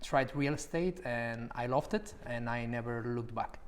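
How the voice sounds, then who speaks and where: Young Spanish-accented man, mid-pitched, thoughtful interview answers with frequent pauses. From Málaga, Spain. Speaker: property specialist at an agency.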